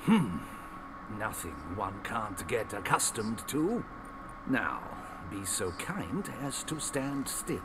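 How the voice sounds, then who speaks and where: Haughty, fussy courtier for a fantasy game, swooping mid-range voice, formal diction, noisy backdrop. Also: male voice, on the low side, big pitch swings, takes it slowly. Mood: officious. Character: fussy, snobbish male courtly official. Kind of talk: video game voice acting. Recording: game audio with clear background noise.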